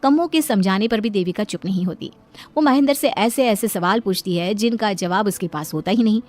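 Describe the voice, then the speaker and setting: A woman's voice: medium pitch, brisk, a little mellow. A woman reading out a scripted moral story as its narrator.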